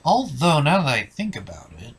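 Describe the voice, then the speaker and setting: Male cartoon reviewer, animated pitch, casual but theatrical, scripted comedy delivery. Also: normal speed, breathy edge, rough recording.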